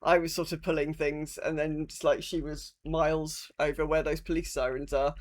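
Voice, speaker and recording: A woman's voice: low voice, little pitch movement, normal speed. A woman co-hosting a gaming podcast in casual chat, talking in an informal, rambling way with some mild swearing. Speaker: podcast co-host.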